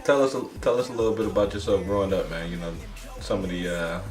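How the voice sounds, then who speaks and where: A man's voice: deep voice, fast talker, a little mellow. A man hosting a casual interview, easygoing, with "that's what's up" as a regular reply. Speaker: interview host. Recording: roomy, distant mic, music behind.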